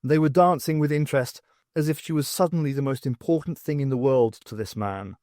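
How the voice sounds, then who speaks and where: A man narrating a scripted fiction audiobook with expressive storytelling. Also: mid-range voice, a little fast, dry recording, studio quality.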